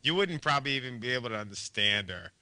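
A man's voice: medium pitch, animated, fast, bright, forceful tone, clean tone, no echo. A man with a New York accent hosting a casual chat, talking in an emphatic, playful way. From US East Coast. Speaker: host interviewing a guest. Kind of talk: casual banter.

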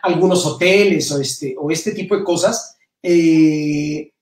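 A man's voice: fairly high pitch, takes it slowly, darkish tone, recorded from a distance. Mexican man on a roomy video call. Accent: Mexican Spanish with some Spain influence. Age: middle-aged. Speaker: interview guest, novelist. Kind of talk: casual interview talk. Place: indoor room at home, on a video call.

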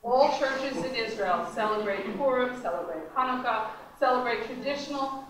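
A woman's voice: fairly high pitch, moderate pace. A middle-aged woman giving an academic lecture at a podium, conversational with frequent ums and restarts. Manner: conversational, many ums and restarts, gestures while talking. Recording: very echoey lecture room, distant mic, faint noise.

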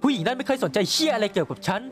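A man voicing a flirtatious, posh owl demon prince in an animated comedy dub, polite and coy. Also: very high voice, racing. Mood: weary, firm. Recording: loud music behind the voice.

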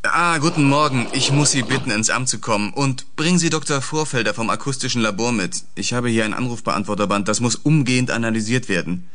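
An actor playing a formal, sober federal police official in a radio drama, speaking in a measured, matter-of-fact way. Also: male voice, mid-range voice, bright, forceful tone, lightly acted. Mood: businesslike, serious. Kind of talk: German radio drama dialogue.

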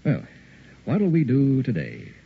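A man's voice: medium pitch, wildly swooping pitch, slow, gravelly, husky, full character voice. A man playing a troubled, exhausted husband in an early 1960s radio drama. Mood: forced ease. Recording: muffled, noisy old radio recording.

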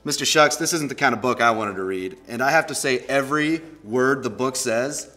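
A man's voice: mid-range voice, fairly quick, bright, forceful tone. A young American man speaks in a mid-range voice over faint music. He hosts a children's read-aloud in a playful style, acting exasperated and reluctant about it. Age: young adult. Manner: theatrical mock exasperation and reluctance. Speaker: baseball team presenter. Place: indoor studio with plain backdrop.

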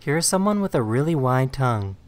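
A man's voice: medium pitch, big pitch swings, a little fast, soft background music. A man reading scripted narration for a compilation of short viral clips.

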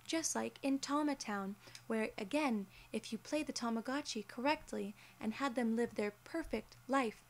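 A woman in her twenties performing an ASMR roleplay in a measured, deliberate and formally worded way, close to the mic. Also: a little high. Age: 20s. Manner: measured, deliberate, formal phrasing as an alien gatekeeper. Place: small indoor room, seated in an armchair.

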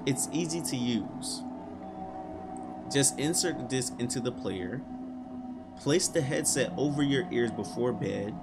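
A young man in a casual reaction style, reading text aloud and breaking in with slangy, animated exclamations. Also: medium pitch. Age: young adult. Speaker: reaction video host. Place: small room indoors.

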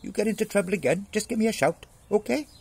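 A man's voice: sits high, fast talker, vocal fry, darkish tone, slightly rough, voice pushed up, heavily acted. A man voicing a tiny, cheeky, boastful male mouse in a storybook fable. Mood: plucky, earnest. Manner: cocky, boastful, eager.

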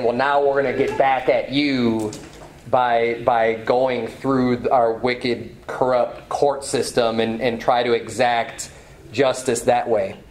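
A man in his thirties preaching a sermon from a pulpit, emphatic, repeating phrases and climbing in pitch when stirred. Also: slight background noise. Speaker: pastor. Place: small church hall with banner, indoors. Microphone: far camera, at a pulpit.

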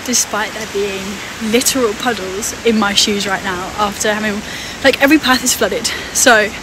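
A woman's voice: mid-range voice, moderate pace. A woman vlogging outdoors on a hike, talking in a casual, reflective way. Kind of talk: casual reflective chat while hiking. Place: outdoors on a hiking trail. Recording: very noisy outdoor wind and water sound.

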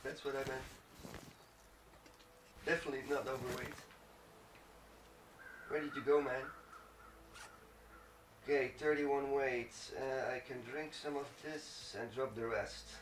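A man's voice: mid-range voice, fairly even intonation, unhurried, pauses often. A man giving casual let's play commentary on a game, swearing and muttering as he reacts. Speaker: gaming video host. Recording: roomy, distant mic, clear background noise.

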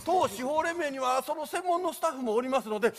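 An actor playing a formal, officious judicial official, speaking politely in a theatrical stage play. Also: male voice, extremely high pitch, expressive, quick pace, big-room sound, a crowd in the background.

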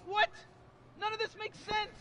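A very high, squeaky teenage boy who stammers over nearly every phrase. He sounds nervous and whiny, tripping over his words as he protests. The recording picks up open outdoor street sound. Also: monotone, measured pace, background noise. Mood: exasperated.

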